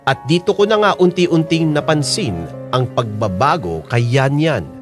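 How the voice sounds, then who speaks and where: A man's voice: on the high side, lively intonation, a little slow. A man narrating a drama in an earnest, wistful, storytelling manner. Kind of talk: scripted reflective narration. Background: music.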